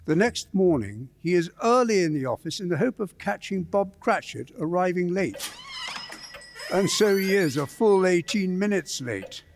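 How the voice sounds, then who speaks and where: A man's voice: sits high, lively intonation, moderate pace, a little hiss or hum. A man narrating a scripted story as part of a staged radio play. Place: live performance in a church.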